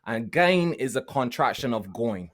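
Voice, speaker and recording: A man, co-hosting a podcast over a video call from home and explaining slowly, repeating words as he thinks. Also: medium pitch, expressive. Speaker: podcast co-host. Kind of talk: casual chat.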